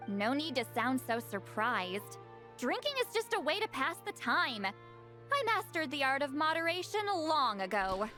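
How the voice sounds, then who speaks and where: Testy, proud shaman, high voice. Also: sing-song, a little slow, bright, forceful tone, soft background music, full character voice. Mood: defensive, huffy. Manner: brusque and authoritative. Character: testy, proud female shaman, a heavy drinker in denial. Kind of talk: video game voice acting.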